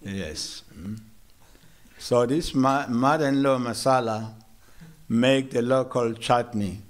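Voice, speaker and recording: A man from South Africa giving a morning sermon in a temple, slow and measured, with hesitant restarts and gentle humor. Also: mid-range voice. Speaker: monk giving a morning lecture. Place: temple hall.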